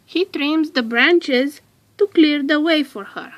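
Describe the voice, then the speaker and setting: A woman narrating a children's storybook aloud in a deliberate way, drawing out some words. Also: sits high.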